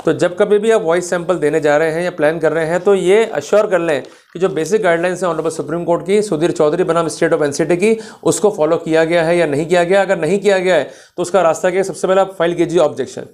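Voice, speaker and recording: Man, high voice, didactic law lecturer, explanatory delivery, English legal terms mixed in. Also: fairly even intonation, fast talker, few pauses, darkish tone. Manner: didactic, checks understanding with questions, mixes in English legal terms. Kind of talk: explanatory legal lecture, addressing listeners directly.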